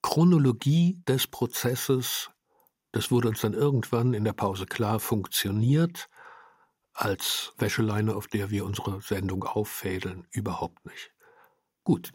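A man explains his work in an interview. His voice is on the low side and husky, recorded very dry. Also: a little slow, darkish tone, no room sound. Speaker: radio drama maker interviewed about the production.

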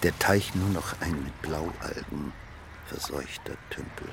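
An actor playing a gloomy man who dictates bleak, deliberate notes in a radio drama, with a gravelly voice. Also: a bass voice, background noise, full character voice. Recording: loud water and outdoor ambience.

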